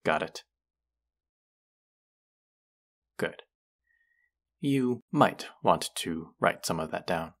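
Man acting a smug, deep, gravelly college guy. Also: lively intonation, slow, exaggerated voice. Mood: bossy. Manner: condescending, sardonic, drawling. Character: smug, condescending male college student, crush's rude twin. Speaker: audio roleplay voice actor. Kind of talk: scripted roleplay addressed to the listener.

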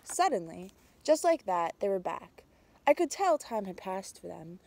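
A young adult woman reading a picture book aloud outdoors, with a measured, expressive storytelling delivery. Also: mid-range voice, vocal fry, dry recording. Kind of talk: storybook read-aloud, narrated as a tree. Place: outdoors in snowy winter woods.

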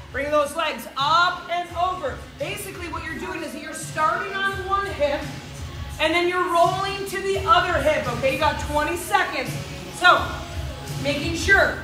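A high-pitched, bubbly woman in her thirties leads a live workout, cheering people on and calling out the moves. She is recorded on a camera mic across a large gym, so her voice rings around the hard walls. Upbeat workout music plays underneath her. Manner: bubbly, encouraging, cheering and counting down. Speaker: fitness coach. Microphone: camera mic a few steps away. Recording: echoey gym with music behind.

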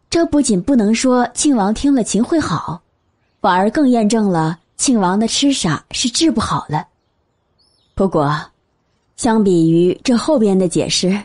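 Female narrator for serialized fiction: husky, mellow, lively intonation. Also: mid-range voice. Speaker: audiobook narrator. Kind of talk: scripted storytelling narration.

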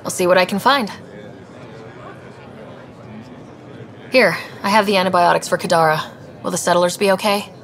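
A woman's voice: on the low side, fairly even intonation, quick pace, lightly acted. A woman playing a capable, earnest young space explorer in a video game, speaking plainly and in a businesslike way. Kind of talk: video game dialogue acting.

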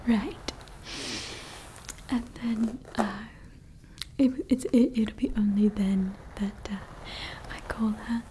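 A woman's voice: on the high side, mellow, a little hiss or hum, poor audio. A woman voicing a scheming evil female scientist in an ASMR roleplay, whispering throughout and rambling with fillers. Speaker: ASMR creator.

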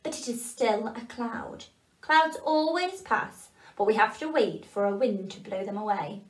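Lady doing a wise old woodpecker, calm and kind, fairly high voice, echoey room. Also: measured pace. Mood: reassuring. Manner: calm, reassuring explanations.

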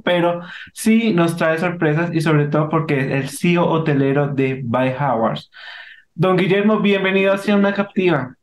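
A man hosts a casual podcast interview, upbeat and friendly in his questions. His voice sits a bit higher than most men's. The room around him is roomy and echoey. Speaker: podcast host. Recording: roomy echo.

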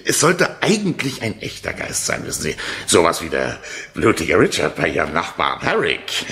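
Eager hesitant businessman from the states, lowish but sharp voice, old radio drama. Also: huge pitch swings, creaky, full character voice. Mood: coaxing. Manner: polite, halting, hedging. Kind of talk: 1970s German radio play acting.

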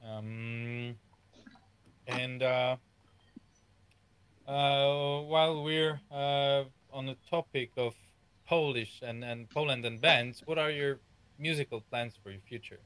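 A man's voice: mid-range voice, steady tone, takes it slowly, long pauses, a bit bright, clear, resonant tone, no echo. Man, host, casual questions, easygoing, home studio. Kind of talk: casual video-call interview.

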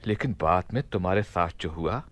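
A man playing a commanding yet gracious Caliph of Baghdad in a radio play, with measured, regal, formal diction. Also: low voice, lively intonation, fairly bright tone, no room sound. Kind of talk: Hindi radio play acting.

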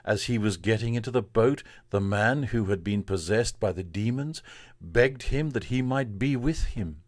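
A man, a priest, reading from the Bible in a solemn, measured and deliberate way. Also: fairly low pitch, expressive, no room sound.

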